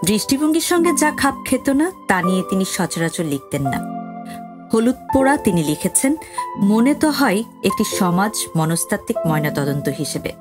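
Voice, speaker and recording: A woman's voice: mid-range voice, very animated, normal speed. A woman reading a scripted literary introduction to an audio drama. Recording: loud music bed under the voice.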